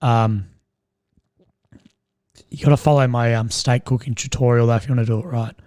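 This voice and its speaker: A young man with a strained, slightly croaky voice, rambling and swearing as he chats with viewers on a live stream. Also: mid-range voice, steady tone, moderate pace, darkish tone, dry recording, clean studio sound. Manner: rambling, swears often, laughs at own jokes. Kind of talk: casual live stream chat.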